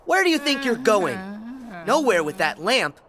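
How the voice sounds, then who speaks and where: A man voicing a plucky, earnest teenage boy hero in an animated game, youthful and curious. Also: very high-pitched, normal speed, crowd noise. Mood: defiant. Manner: youthful, spirited. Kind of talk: animated game character acting.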